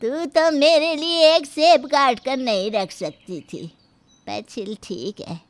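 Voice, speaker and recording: A woman's voice: high-pitched, takes it slowly, dry recording. A woman voicing a crotchety, bossy old grandmother-in-law in a story, grumbling and commanding. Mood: approving. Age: older. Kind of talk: Hindi moral story character acting.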